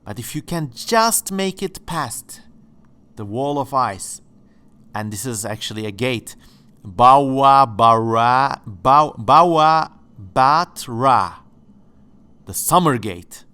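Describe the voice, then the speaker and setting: A man speaking English hosts his channel from home, his voice sitting at an ordinary middle pitch. He muses along casually and playfully, with a tongue-in-cheek, joking manner. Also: lively intonation, takes it slowly, sharp tone, clear, resonant tone. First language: Turkish. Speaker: channel host. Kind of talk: casual exploratory commentary.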